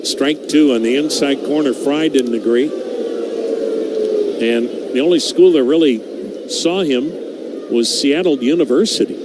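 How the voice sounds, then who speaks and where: A man calling baseball play-by-play on the radio, conversational and steady, mixing the action with stats and stories. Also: medium pitch, a little slow, pauses often, very noisy.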